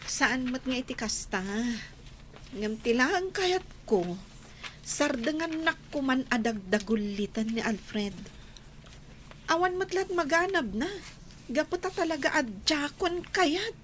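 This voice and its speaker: A woman's voice: high-pitched, expressive, measured pace, a bit bright, slight background noise. A woman acting a firm, emotional young student who turns down a suitor in an Ilocano radio drama. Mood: firm, frustrated.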